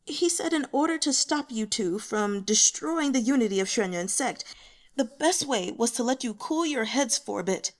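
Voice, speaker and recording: A woman's voice: fairly high pitch, animated, normal speed, voice pushed up, lightly acted. Woman as a reedy, sickly sect elder, pleading his case. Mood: defensive.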